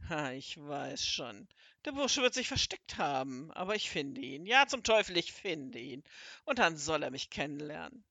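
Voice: mid-range voice, very animated, normal speed, vocal fry, pushed and bright, no room sound, exaggerated voice. A narrator voicing a furious, greedy old sorcerer who bellows and rants. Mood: scheming. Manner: bellowing, ranting.

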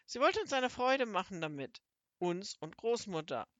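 Woman doing an excitable, animated boy sidekick. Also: mid-range voice, moderate pace, dry recording, lightly acted. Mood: delighted, wondering. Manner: exclaiming, eager.

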